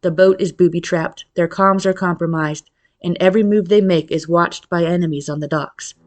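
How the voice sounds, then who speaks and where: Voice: medium pitch, steady tone, moderate pace. A narrator reading a scripted suspense blurb in a dramatic style.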